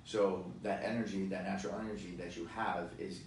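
A man in his forties talking fitness in a casual podcast chat, energetic and emphatic, with many fillers. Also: low voice, fairly quick. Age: 40s. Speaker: fitness guest expert. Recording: echoey room, distant mic, faint noise.

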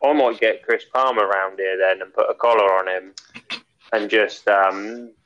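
A man's voice: fairly low pitch, steady tone, normal speed, fairly bright tone, highs cut off. A man co-hosting a podcast in casual comic banter. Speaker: podcast co-host.

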